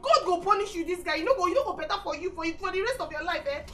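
A woman's voice: extremely high pitch, expressive, very fast, a bit bright. A woman with a Nigerian accent playing a betrayed, furious young lover in a Pidgin comedy-drama, wailing and scolding. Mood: furious, cursing. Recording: distant mic with faint noise.

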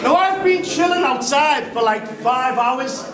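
A young man with a Liverpool accent, delivering a cappella battle rap loudly and forcefully in a brash manner. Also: extremely high pitch, lively intonation, a little slow. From Liverpool, England. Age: young adult. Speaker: battle rapper. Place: crowded indoor club venue. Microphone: overhead boom. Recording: echoey venue with loud crowd.

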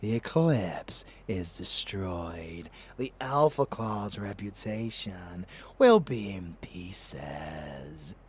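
Slow, deep vampire lover played by a man. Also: animated, husky, exaggerated voice. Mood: confident, amused.

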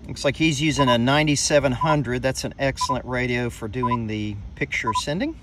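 A man's voice: medium pitch, moderate pace, background noise. A middle-aged man from the USA, making a ham radio contact outdoors behind his car and talking to a handheld camera. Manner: friendly, radio-style call signs. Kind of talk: radio contact with casual narration. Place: outdoors at the open back of a car. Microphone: phone camera at arm's length.

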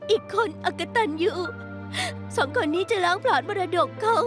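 A woman's voice: very high-pitched, sing-song, a little fast, music in the background. An actress playing a distraught, insistent young woman in a dubbed TV melodrama, pleading and emotional. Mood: distressed, insistent. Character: distraught, insistent young woman fighting for her father's inheritance.

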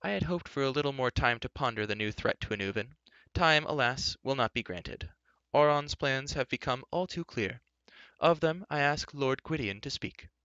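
Old storybook enchanter voiced by a man: grave, curt, measured, tense bright edge, slightly lo-fi. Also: mid-range voice, animated, clean tone, dry recording, voice pushed up. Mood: grave, urgent. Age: older figure, played. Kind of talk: fantasy audiobook character voice.

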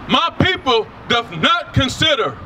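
A man reading Bible verses aloud outdoors, slow and deliberate. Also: very high-pitched, sing-song, bright, forceful tone, recorded from a distance, a little hiss or hum. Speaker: scripture reader. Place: outdoors by a street.

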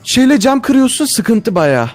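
A man plays a game and jokes casually with his friend. His high voice moves animatedly up and down as he repeats phrases excitedly, over clearly audible music. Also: unhurried. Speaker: gaming video co-host. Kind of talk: casual gameplay banter with a friend.

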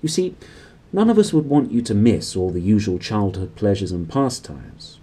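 Storybook elderly priest, acted by a man: paternal, questioning, lively pitch, soft-edged tone. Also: moderate pace, husky. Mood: kindly, probing. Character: plump elderly clergyman, kindly, gently probing.

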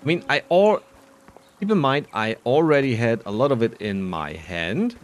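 A man's voice: mid-range voice, sing-song. A man giving casual, playful playthrough commentary on a video game, often musing to himself. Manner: playful, self-talking, drawn-out musing. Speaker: video game player and narrator.

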